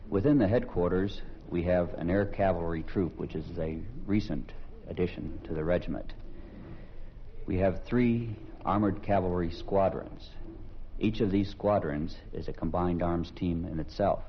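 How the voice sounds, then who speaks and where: A man, an army commanding officer, giving a formal, deliberate briefing on a vintage radio recording. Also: deep voice, highs cut off, a little hiss or hum. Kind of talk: explanatory briefing.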